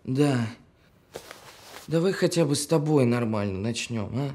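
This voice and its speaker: A weary man of medium pitch voiced by an actor in an audio drama. He talks haltingly to his machine assistant, trying to reason and make peace. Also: animated, darkish tone, natural voice. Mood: conciliatory. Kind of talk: scripted audio film acting.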